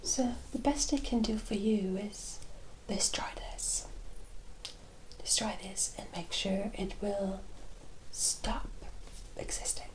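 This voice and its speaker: A woman playing a teasing, worldly forest witch in a whispered ASMR roleplay, speaking in a deliberate, familiar way. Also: medium pitch, lightly acted, rough recording. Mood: matter-of-fact, advising. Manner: unhurried, deliberate, familiar terms of address.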